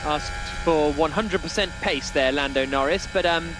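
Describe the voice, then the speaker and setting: A man's voice: on the high side, normal speed, over music. A man hosting live motorsport radio commentary with casual, jokey banter, often correcting himself.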